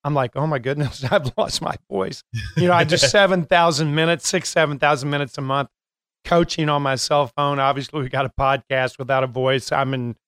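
An older man hosting a coaching podcast, talking casually with many filler pauses. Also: mid-range voice, a bit bright, clear, resonant tone, no room sound. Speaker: host and coach.

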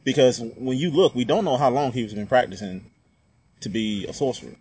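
A man in relaxed, joking, colloquial chat about movies. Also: mid-range voice, normal speed, slightly rough. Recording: muffled lo-fi recording with faint hiss.

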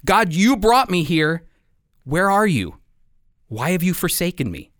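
A man's voice: high voice, sing-song, measured pace, a bit bright, no echo, studio quality. A man preaching a sermon and reading scripture aloud in an earnest, emphatic manner with dramatic phrasing.

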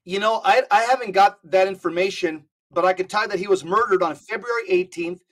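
A man's voice: high voice, a little fast, a bit bright. Earnest, hard-hitting male podcast guest. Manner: earnest, repeats and restarts phrases. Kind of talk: emphatic conversational commentary. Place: California.

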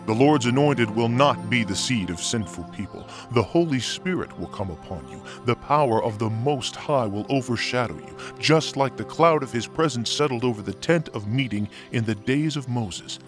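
An actor playing an angel messenger in a Bible drama, solemn, measured and reassuring. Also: male voice, mid-range voice, expressive, music in the background, lightly acted. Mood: solemn, assured.

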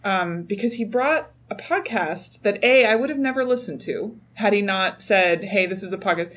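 A woman hosting and editing a podcast, chatting in a gushing, excitable way with many fillers. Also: mid-range voice, normal speed, husky, dull audio. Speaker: podcast host and editor. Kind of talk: casual chat.

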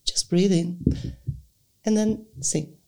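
A middle-aged woman, a singer and voice teacher, talking casually in English with thoughtful, halting phrasing and frequent restarts. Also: lowish voice, dark tone. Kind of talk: casual conversation.

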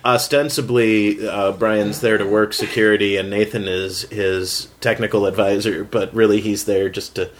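Halting man in casual chat. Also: on the low side, little pitch movement, a little hiss or hum. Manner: halting, filler-heavy, searching for words. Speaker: writer and voice actor on a round-table.

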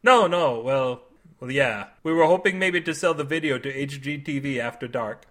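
A man's voice: medium pitch, moderate pace, a bit bright, close to an everyday voice. A man voicing an eager, nosy male neighbor who talks in a chirpy, pushy way. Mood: sheepish.

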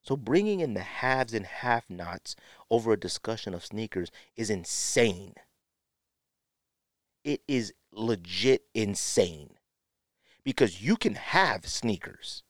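Deep male voice, sniffly, emphatic opinionated podcast host, close dry mic. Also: very animated, slow, long pauses, dry recording. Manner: emphatic, repeats phrases for effect. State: sniffly with a runny nose. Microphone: podcast microphone, close.

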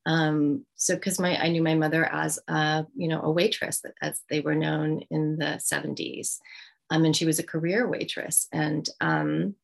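Middle-aged woman author, reflective interview, deep fry in the voice, hesitant. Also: flat delivery. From United States. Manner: thoughtful, halting, many ums. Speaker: author guest.

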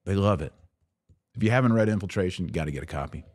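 A man's voice: low-pitched, quick pace, darkish tone, dry recording, studio quality. An American man hosting a casual livestream, chatting informally with playful slang as he answers viewers. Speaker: podcast host.

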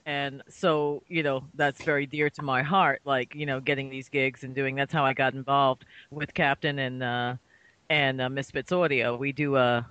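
A woman's voice: deep voice, a bit bright. A woman chatting casually on a panel as a voice actor, in a rambling, talkative way.

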